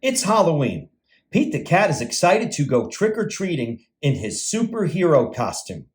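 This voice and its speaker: A man narrating a picture book for young children in an animated read-aloud style. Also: fairly high pitch, normal speed.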